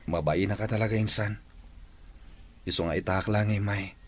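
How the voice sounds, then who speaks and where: A man's voice: fairly low pitch, normal speed, a little hiss or hum, close to an everyday voice. An actor playing an ashamed, apologetic man in a Filipino radio drama, speaking in a subdued, hesitant way. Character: ashamed, apologetic male cousin of the groom. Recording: music underneath.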